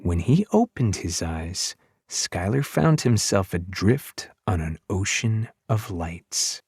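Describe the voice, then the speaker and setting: A man reading a children's fantasy novel as an audiobook narrator, in expressive, dramatic storytelling style. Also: low-pitched, slow pace, husky, dry recording, clean studio sound.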